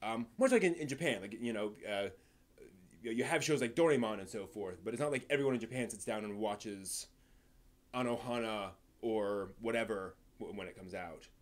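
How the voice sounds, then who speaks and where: A man with an American accent hosting a casual live stream, answering viewer questions in a halting, rambling, think-aloud way. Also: on the low side. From East Coast of the United States.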